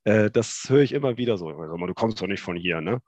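A man in his thirties from Hamburg with a northern German accent, chatting as a guest on a video call, relaxed and hesitant with many fillers. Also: on the low side, fast, no room sound, muffled recording. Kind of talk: casual chat.

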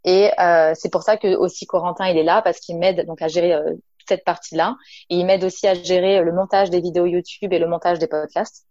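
A woman's voice: on the low side, fairly even intonation, brisk, dark tone, no room sound, lo-fi sound. A woman from Nice, a naturopath and entrepreneur, talking casually in an interview with frequent hesitations and an informal tone. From Nice, south of France. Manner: chatty, many fillers, addresses listener informally.

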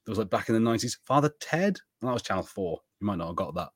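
A man with a low voice chats casually as a podcast co-host. He rambles, full of fillers and restarts. The recording is dry and close. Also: animated, quick pace, no room sound. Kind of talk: casual chat.

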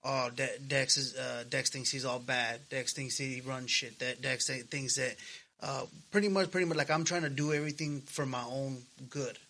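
A man's voice: medium pitch, fairly even intonation, normal speed, sharp tone. A man in his thirties chatting casually as a podcast co-host, earnest and slangy, swearing freely. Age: 30s. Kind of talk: casual personal chat.

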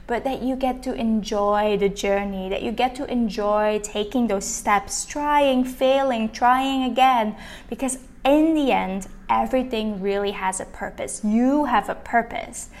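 A woman's voice: fairly high pitch, a little slow. Chatty woman hosting a podcast. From Belgium. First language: Dutch. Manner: warm, chatty and encouraging. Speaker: podcast host, business coach. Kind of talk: solo podcast monologue.

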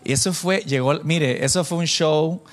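Guy preacher doing a chatty sermon, kinda high voice, jokes at himself, throws in english bits. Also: normal speed, a little hiss or hum. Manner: animated, self-deprecating, bantering with listeners; mixes in English phrases. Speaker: preacher addressing a church congregation. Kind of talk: informal conversational sermon with anecdotes.

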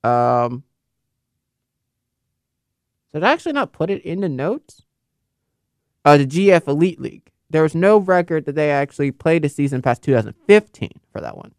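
A man's voice: mid-range voice, clean tone, no echo, studio quality. A young man in casual, unscripted commentary, rambling and correcting himself with many fillers. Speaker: football video host.

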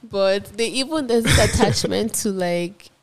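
A woman's voice: mid-range voice, measured pace. A woman co-hosting a podcast who chats casually, laughs often and responds enthusiastically. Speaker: podcast co-host. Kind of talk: casual chat.

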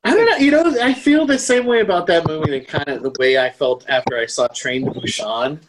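A man's voice: a little high, animated, a little fast, noisy background. A man co-hosting a podcast, chatting casually and in a rambling way about horror, acting out bits of dialogue as he retells things. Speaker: podcast co-host. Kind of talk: casual chat about horror books and films.

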